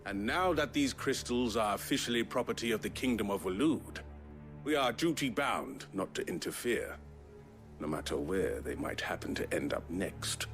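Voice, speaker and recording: A smug crooked merchant from a fantasy game. His voice sits a little low and moves freely in pitch, formal and oily, over faint music. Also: sing-song, normal speed, a bit bright. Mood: smug, courteous. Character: smooth, smug corrupt crystal trader, a man. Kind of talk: theatrical video game acting.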